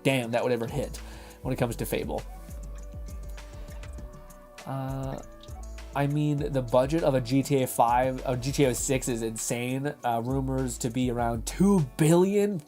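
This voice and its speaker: A man's voice: a little high, a little mellow. A young man hosting a livestream in rambling casual chat, reading chat aloud and laughing, his voice going from a dry throat. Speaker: livestream host.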